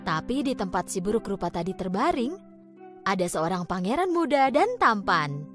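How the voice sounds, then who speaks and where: A woman narrating a children's fairy tale in an expressive, scripted storytelling style. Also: mid-range voice, normal speed. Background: background music.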